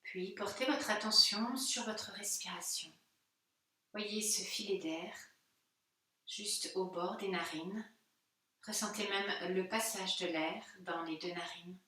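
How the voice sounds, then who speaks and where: A woman, a yoga teacher giving calm, unhurried and soothing guided relaxation instructions. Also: medium pitch. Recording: very echoey room, distant microphone.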